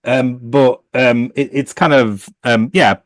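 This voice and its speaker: A man's voice: mid-range voice, steady tone, slow, no room sound. Hesitant man on a dry line. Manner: hesitant, full of fillers. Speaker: panel guest. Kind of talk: casual podcast chat.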